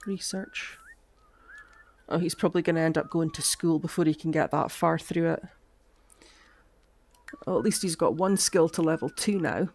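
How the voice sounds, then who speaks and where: Woman, gravelly voice, lingering cough, chatty gameplay commentary, thinking aloud. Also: deep voice, normal speed, pauses often. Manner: chatty, thinks aloud, frequent ums and soft asides. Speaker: game streamer.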